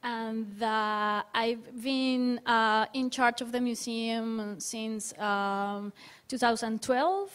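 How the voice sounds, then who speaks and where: A woman from Colombia, a museum director, slowly and hesitantly introducing herself on a panel. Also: on the high side, fairly even intonation, smooth. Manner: slow, hesitant. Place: conference room.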